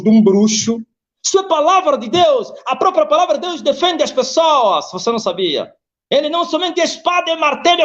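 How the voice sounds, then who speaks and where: A man, preaching emphatically on a live video call and quoting scripture. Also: dull audio. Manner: emphatic, swings high and low, quotes scripture. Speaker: apostle, preacher.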